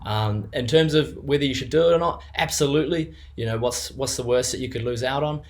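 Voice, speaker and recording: A man's voice: mid-range voice, fairly quick. A younger man with a New Zealand accent, a business co-owner giving an unscripted client testimonial, hesitant with many fillers and restarts.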